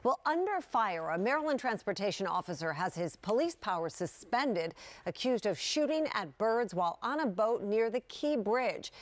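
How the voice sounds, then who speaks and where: A woman's voice: medium pitch, very animated, normal speed, dry recording. A woman anchoring a TV newscast in a studio, reading scripted news.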